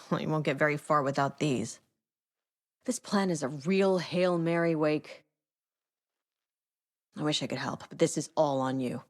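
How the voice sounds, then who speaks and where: A tough government agent in a game drama, with a low voice. She is blunt and no-nonsense, with dry sardonic delivery. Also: measured pace, pauses often. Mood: stern, sardonic.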